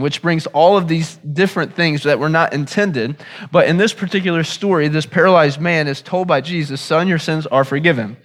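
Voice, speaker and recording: A pastor preaching a sermon in an earnest, teaching manner, sounding a little hoarse and often repeating himself as he restarts phrases. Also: a little high. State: hoarse, recovering from lost voice.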